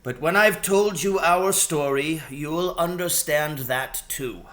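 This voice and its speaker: A man voicing a wise, courteous rat leader in a storybook, explaining things in an unhurried, deliberate way. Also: on the high side, fairly bright tone, voice pushed up. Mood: calm, explanatory. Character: wise, courteous male rat leader telling his history.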